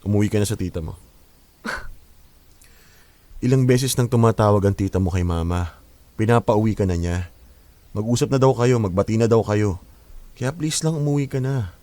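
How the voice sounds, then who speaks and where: A man's voice: fairly low pitch, normal speed, pauses often, warm tone, no echo, lightly acted. Flustered young man, polite then exasperated, dry close studio sound, played by a man. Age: young adult. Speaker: son. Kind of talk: Filipino radio drama acting.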